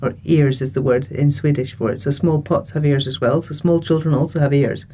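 A woman from Ireland, calmly explaining her views as a panel guest. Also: low voice, fairly quick. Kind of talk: conversational discussion.

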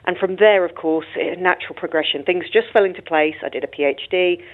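A woman's voice: on the low side, brisk. A woman with a British accent, a guest on a radio interview, chatty and modest, who explains her work at length. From United Kingdom. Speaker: guest, international space lawyer. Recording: tinny phone-line sound.

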